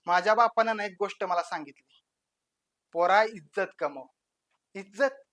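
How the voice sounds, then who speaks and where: A woman's voice: medium pitch, unhurried, close to an everyday voice. Woman acting swaggering village gangster, rural Marathi accent. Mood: menacing, boastful. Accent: rural western Maharashtra Marathi. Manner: taunting, swaggering, rhetorical questions, swinging from hushed to loud. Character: brazen, menacing village gangster, child of the village chief. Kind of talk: dramatic villain audition monologue.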